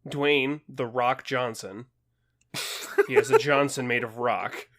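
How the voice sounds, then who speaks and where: A man's voice: medium pitch, fairly even intonation, slow pace, a bit bright. A man co-hosting a podcast with blunt, sarcastic, comedic banter, often cutting in. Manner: blunt, sarcastic, foul-mouthed, interrupting. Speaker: podcast co-host.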